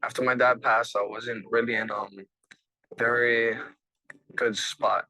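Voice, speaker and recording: Young man, flat and bright. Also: mid-range voice, a little slow, slight background noise, poor audio. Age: young adult. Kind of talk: documentary interview.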